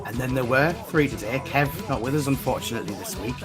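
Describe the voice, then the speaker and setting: A man hosting a wrestling podcast, his voice croaky from being unwell, rambling and restarting. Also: medium pitch, quick pace, over music. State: croaky voice from recent illness. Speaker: podcast host. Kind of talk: casual podcast chat and news reading.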